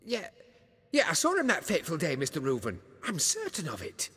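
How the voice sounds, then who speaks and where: A man's voice: on the high side, wildly swooping pitch, a little fast, fairly bright tone, husky, big-room sound, exaggerated voice. An actor voicing a fussy, nervous male bathhouse keeper in a video game, sounding flustered and fawning.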